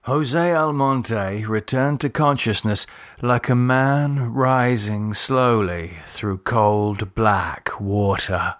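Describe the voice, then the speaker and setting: A man reading a horror novel as a dramatic audiobook, measured and expressive in his delivery. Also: medium pitch. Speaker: author and narrator reading own novel.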